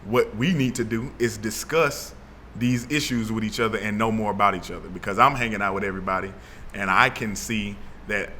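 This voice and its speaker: A young man from Atlanta, telling his story as an interview guest in a relaxed way with plenty of fillers. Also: on the low side, a little fast, slight background noise. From Atlanta, Georgia. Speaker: guest, founder of an initiative. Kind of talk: casual interview talk.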